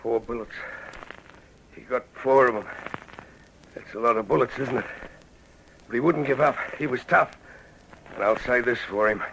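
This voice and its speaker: An actor with an Australian accent playing a cornered, rueful small-time gunman who talks roughly in an old radio crime drama. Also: medium pitch, moderate pace, pauses often, background noise, lightly acted. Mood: subdued, rueful.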